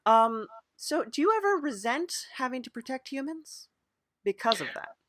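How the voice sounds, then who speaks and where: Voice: high-pitched, animated, normal speed, a bit bright, no room sound. A podcast interviewer in an improvised mock interview, asking questions in an earnest, hesitant way. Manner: hesitant, earnest questioning with frequent murmured acknowledgements.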